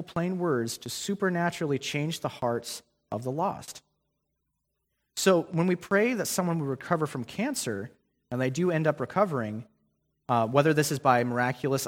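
A young man giving a guest sermon in a conversational way, with many ums and restarts. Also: on the high side. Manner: conversational preaching with frequent fillers and restarts. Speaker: guest preacher. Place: church sanctuary. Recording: long hall reverb.

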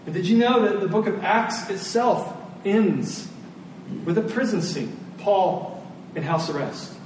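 A man's voice: high-pitched, measured pace, a little mellow. Male preacher, conversational, rhetorical questions, distant mic, heavy room echo, faint noise. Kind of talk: sermon.

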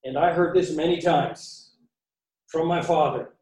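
A man with a Canadian accent preaching a sermon, using dramatic pauses and emphatic repetition. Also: fairly high pitch, fairly even intonation, a little mellow. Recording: echoey room, distant mic.

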